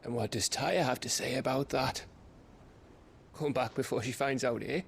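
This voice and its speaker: A man acting a loyal comrade in a fantasy game, wry and fond. His gravelly voice is blunt and gruff, over a faint rumble. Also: moderate pace, close to an everyday voice. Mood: wry concern. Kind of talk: video game cutscene acting.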